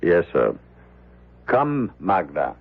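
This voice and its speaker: A deep-voiced, deferential manservant. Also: male voice, sing-song, very slow, full character voice. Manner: formal, deferential, careful. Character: tall, deferential manservant, formal and polite. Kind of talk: old-time radio drama acting. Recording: tinny, narrow vintage radio broadcast.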